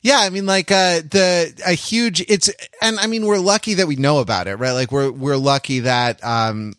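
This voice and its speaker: A man's voice: fairly high pitch, moderate pace, a bit bright, no room sound, clean studio sound. A man co-hosting a podcast in casual, jokey banter, wandering into bookish digressions. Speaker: podcast co-host.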